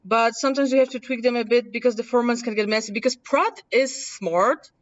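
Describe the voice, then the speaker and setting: A woman from Poland with a mild Polish accent, casually teaching a workshop and thinking aloud as she demonstrates software. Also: a little high, fairly quick. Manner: chatty, self-correcting, thinking aloud. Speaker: workshop presenter. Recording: distant, dull room recording.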